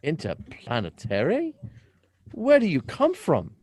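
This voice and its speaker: A man's voice: a little high, wildly swooping pitch, a little slow, darkish tone, breathy edge, heavily acted. A man playing a curious, naive young man in a radio drama reading, hesitant and full of questions. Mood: amazed. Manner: wide-eyed, hesitant, questioning.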